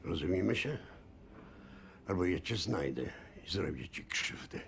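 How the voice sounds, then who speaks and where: An actor playing a wry, protective old man with a Polish accent and a gravelly voice in a game cutscene. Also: low voice, lively intonation, moderate pace, fairly bright tone, a little hiss or hum, heavily acted. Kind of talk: video game cutscene acting.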